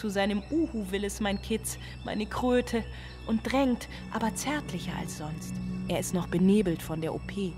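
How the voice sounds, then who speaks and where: A strained single mother played by an actress in a radio drama, drifting into dreamy euphoria. Her mid-range voice circles in poetic, repeated phrases. Music plays clearly underneath. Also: mid-range voice, moderate pace, natural voice. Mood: tender, dreamy. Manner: incantatory, poetic, repetitive. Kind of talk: German radio drama monologue.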